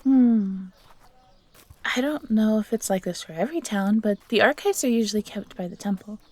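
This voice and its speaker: A woman voicing a young fox girl, timid but eager and grateful, soft and breathy, in a scripted audio roleplay. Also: mid-range voice, animated, normal speed, close to an everyday voice. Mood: curious, helpful.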